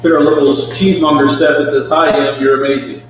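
Higher-pitched man in a very echoey room. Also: little pitch movement, fairly quick. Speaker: co-host reading viewer comments. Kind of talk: live show announcements, casual. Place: indoor demonstration kitchen. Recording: very echoey room, muffled, faint hum.

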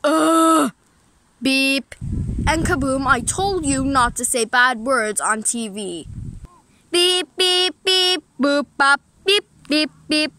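A woman puts on a string of toy characters in a high, lively voice that leaps around. She talks slowly, with a bright and bouncy tone. The sound is close and clear, like a phone held near while she plays outdoors. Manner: playful, quick switches between characters. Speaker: toy video storyteller voicing all the toys. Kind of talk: improvised toy play voices.

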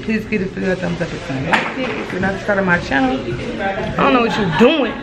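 A woman in her twenties from Virginia vlogging to a handheld camera in a cabin, chatty and relaxed, her voice going hoarse. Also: medium pitch. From Virginia, USA. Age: 20s. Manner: chatty and relaxed, addresses viewers as y'all. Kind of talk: casual vlog to camera. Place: wooden cabin, indoors. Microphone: handheld camera at arm's length.

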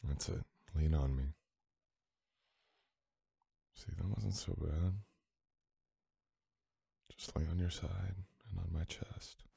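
A man playing a sweet, teasing boyfriend in an intimate ASMR audio roleplay, coaxing softly and unhurriedly. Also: sits low, unhurried, gravelly, slightly rough, heavily acted. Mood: tender coaxing.

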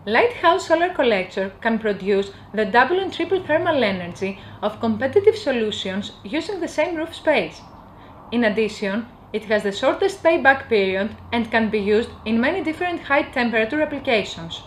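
A young woman from Greece, giving an earnest, upbeat scripted promotional pitch. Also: sing-song, moderate pace, a little hiss or hum. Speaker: company presenter. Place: indoor office with bookshelves.

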